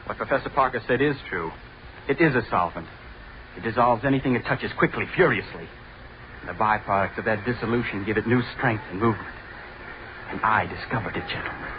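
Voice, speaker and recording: A man's voice: lowish voice, a little fast, close to an everyday voice. Man playing intense, ominous student genius, noisy old radio. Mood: proud, intense. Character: obsessed male student inventor, intense, proud, ominous. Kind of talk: 1950s radio drama acting. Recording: dull, noisy vintage radio recording.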